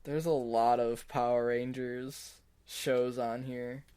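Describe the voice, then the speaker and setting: A man's voice: medium pitch, flat delivery, slow, mellow, clean tone. A man co-hosting a podcast in casual chat, laid-back and brief in what he says. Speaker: podcast co-host.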